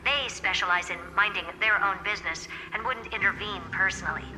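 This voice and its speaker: An actress playing a brisk, haughty, self-assured genius woman in a video game, smug and blunt in her delivery. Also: medium pitch, long reverb tail, music in the background, lightly acted, rough recording. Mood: analytical. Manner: smug, blunt, matter-of-fact.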